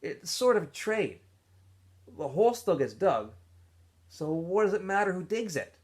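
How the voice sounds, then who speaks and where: An earnest teenage boy from a storybook, read by a man, quietly defiant. His pitch is raised and moves about freely. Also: sing-song, unhurried. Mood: earnest defiance. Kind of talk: storybook character voice.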